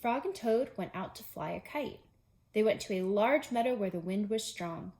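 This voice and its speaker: A woman's voice: medium pitch, expressive, normal speed, a little mellow. A woman preschool teacher reading a storybook aloud to young children, addressing them directly with simple explanations.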